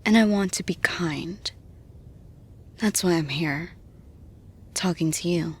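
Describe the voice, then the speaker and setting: A nurturing female boss, played by a woman in an intimate audio roleplay. Her voice is breathy and on the low side, moving very slowly. She soothes in a maternal hush that often slips into a whisper. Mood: warm, appreciative. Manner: soothing, hushed, unhurried, often dropping to whispers. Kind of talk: intimate ASMR-style roleplay monologue.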